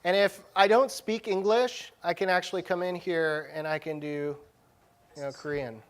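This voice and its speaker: A man's voice: high-pitched, steady tone, normal speed. A man presenting a live tech demo at a conference session, speaking in an informal, slangy, casual way. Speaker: conference presenter, technology director.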